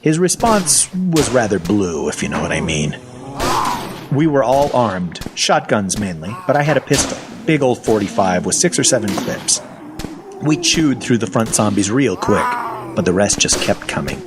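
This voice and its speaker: A man's voice: on the low side, very animated, normal speed, background noise. An actor playing a wry, self-deprecating survivor of a zombie apocalypse, telling his story in a folksy, sardonic first person. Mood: tense, wry. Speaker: actor narrating an audio fiction story. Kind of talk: first-person storytelling, dramatic audio fiction.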